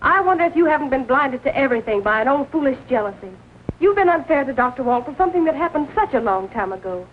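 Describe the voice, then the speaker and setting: An actress playing an earnest, defiant young niece in a 1940s melodramatic film style. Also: female voice, sits high, animated, fast talker. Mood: defiant, bitter. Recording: tinny, noisy old film soundtrack.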